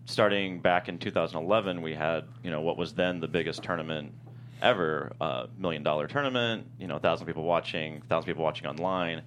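A man with a very deep voice speaks as a guest in a casual interview. He explains in a halting, searching way, stacking up fillers. A faint noisy hum sits under the voice. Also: fairly bright tone. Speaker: screenwriter guest being interviewed.

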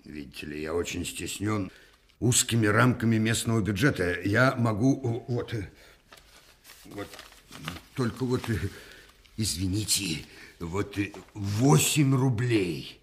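An actor playing a gullible middle-aged provincial Soviet official in a radio play, hesitant, stammering and muttering agreement. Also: male voice, fairly low pitch. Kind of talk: Russian radio play acting.

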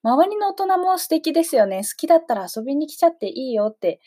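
A woman's voice: high voice, big pitch swings, fast talker, warm tone. A woman hosting a conversational radio interview, politely and attentively affirming her guest. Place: radio programme recording.

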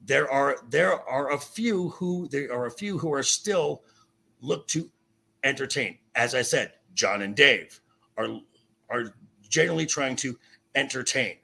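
A man with a raspy voice in a heated pop culture discussion, insistent and halting, often repeating himself. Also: medium pitch, lively intonation, bright, forceful tone. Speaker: podcast guest. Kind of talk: heated opinionated film and TV discussion.

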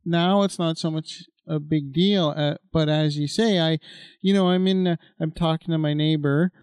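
Gravelly, higher-voiced man in his fifties. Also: steady tone, normal speed, darkish tone, no room sound. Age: 50s. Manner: rambling, loose, lots of fillers and asides. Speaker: podcast co-host. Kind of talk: casual podcast chat.

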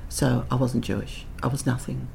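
A woman's voice: rumbling low, steady tone, moderate pace, gravelly, mellow, slight background noise. A middle-aged woman, Dutch-born, talking as an interview guest and telling stories with reflective, dry humour. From Netherlands. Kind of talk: candid interview conversation.

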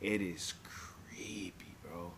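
A man's voice: low voice, steady tone, very slow, a bit bright, whispered. A man co-hosting a podcast, telling a story in laid-back slang with a Southern California accent. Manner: laid-back slangy storytelling, says bro and dog a lot. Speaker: podcast co-host. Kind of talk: casual chat.

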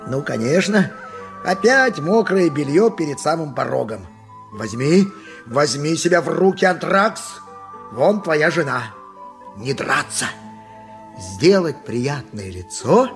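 A boastful donkey driver in a radio drama. The voice is high for a man and leaps about theatrically, from crowing to muttering. It is husky and tipsy, a rough husband wheedling and mocking, with faint music around it. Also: sing-song, slow, full character voice. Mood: grumbling. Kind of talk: radio play acting, 1980s.

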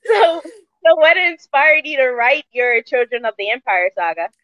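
A woman's voice: high-pitched, measured pace, dry recording, dull audio. A woman in her thirties hosting a podcast with casual interview chat. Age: 30s. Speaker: podcast host.

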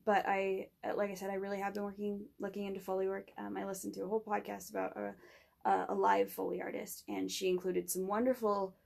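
A young woman student pitching her own project in casual conversation, rambling with fillers and restarts. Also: little pitch movement, quick pace, creaky, a little mellow. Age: young adult.

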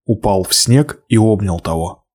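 A man reading a fairy tale aloud, expressive, slowing and hushing for the sad passages. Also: lowish voice. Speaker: narrator. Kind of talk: storybook reading.

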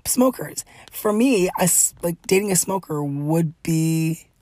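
Low, breathy, raspy-voiced woman. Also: animated, unhurried, mellow, no echo. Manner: chatty, laughs often, many fillers. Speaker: podcast host. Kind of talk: casual solo podcast monologue.

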